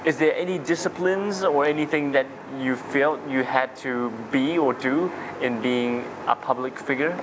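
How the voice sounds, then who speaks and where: A man's voice: medium pitch, normal speed, hardly any pauses. Man asking long interview questions. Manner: long strings of questions and steady backchannels. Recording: noisy with vehicle hum.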